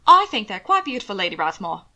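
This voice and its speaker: A woman voicing a gracious, admiring society lady with a smooth, appreciative manner. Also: medium pitch, big pitch swings, fast, sharp tone, exaggerated voice.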